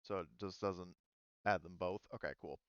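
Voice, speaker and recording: A man with a fairly low, clean voice runs a tabletop game. He explains rules casually and hesitantly, working things out aloud. The sound is close and dry, a little dull like voice-chat audio. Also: fairly even intonation, no room sound. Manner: hesitant, halting, thinking aloud. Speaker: game master.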